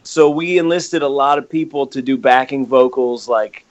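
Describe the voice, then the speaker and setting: A man's voice: mid-range voice, moderate pace. A man, a band member guesting on a podcast, talking casually with lots of swearing and long explanations. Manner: profane, bantering, explains at length.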